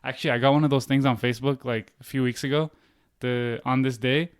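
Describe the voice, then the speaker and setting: Young male podcast guest, Bay Area, laid-back slangy talker, rapid delivery, dry close sound. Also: medium pitch, clear, resonant tone, no echo. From San Francisco Bay Area. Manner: relaxed, laughs easily, slangy. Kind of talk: casual chat.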